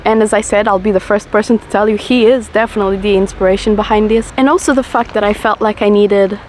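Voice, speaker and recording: Low-voiced young woman, reflective chat. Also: steady tone, quick pace, darkish tone, slight background noise. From Portugal. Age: 20s. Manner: reflective, rambling, confessional; smoking while talking. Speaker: vlogger. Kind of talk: casual chat to camera.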